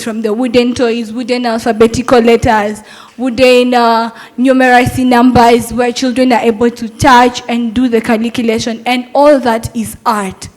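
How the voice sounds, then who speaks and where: A young adult woman, a Montessori teacher, giving an earnest, persuasive talk at a summit and asking rhetorical questions. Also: on the high side.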